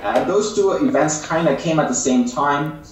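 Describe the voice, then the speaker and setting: A man's voice: fairly high pitch, normal speed, a little hiss or hum. Flemish young man, echoey and distant. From West Flanders, Belgium. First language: Dutch. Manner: polite, hesitant, many fillers. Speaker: interviewed YouTuber. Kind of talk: casual interview over a call. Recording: distant echoey call mic.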